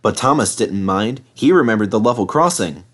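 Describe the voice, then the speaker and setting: A man's voice: on the low side, animated, moderate pace. A man reading a children's story as scripted narration. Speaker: storybook reader.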